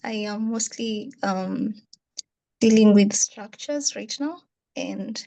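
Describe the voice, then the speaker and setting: A woman's voice: mid-range voice, slow pace, warm tone, low-quality recording. A woman from Kenya introducing herself hesitantly in an online meeting, with many fillers. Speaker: course participant. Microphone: video call.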